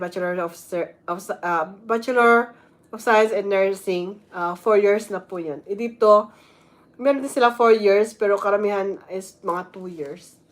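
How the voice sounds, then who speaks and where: A woman's voice: mid-range voice, a bit bright. A woman with a Filipino accent, a nurse giving casual advice in a vlog, switching between Tagalog and English and reading some parts aloud. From Philippines. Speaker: vlogger, nurse.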